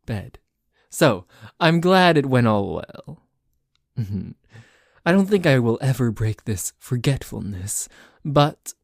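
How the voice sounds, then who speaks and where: A man's voice: mid-range voice, gravelly, no room sound, clean studio sound. A man playing a possessive, demanding yet playful yandere boyfriend in an intimate roleplay monologue, with theatrical delivery. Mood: tender, teasing. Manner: theatrical, swinging between gushing and soft menace.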